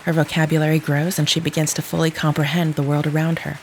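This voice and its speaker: A woman's voice: deep voice, fast, rough recording. A woman in an audio drama playing a formal, literal-minded caregiver who speaks in stilted, clinical, precise phrases. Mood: didactic. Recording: steady rain noise behind the voice.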